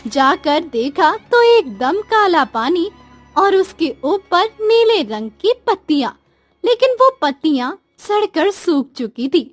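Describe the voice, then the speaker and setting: Slow-paced woman narrating a story. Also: very high-pitched, very animated, no echo, faint music behind. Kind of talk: scripted moral story narration.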